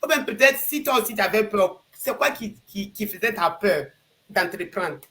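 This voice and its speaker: A woman hosting a casual live stream, talking expressively with swings in loudness and frequent repeats. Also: medium pitch, lively intonation, moderate pace.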